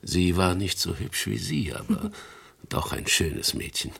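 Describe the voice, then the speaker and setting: Gravelly, husky old antique dealer. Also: male voice, low voice, big pitch swings, unhurried, fairly bright tone, full character voice. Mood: gossipy, insinuating. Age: older. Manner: courtly, insinuating, chuckling between phrases. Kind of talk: German radio crime drama acting.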